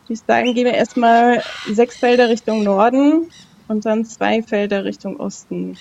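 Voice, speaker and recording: A woman's voice: a little high, normal speed, vocal fry, a little mellow, lo-fi sound, slight background noise. A woman in casual role-play as a game player, thinking aloud a little tentatively as she decides what to do.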